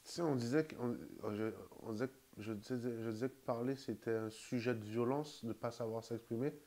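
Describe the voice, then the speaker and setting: A man's voice: mid-range voice, fairly quick, mellow. A man in casual conversation, reflective and hesitant, restarting his sentences often.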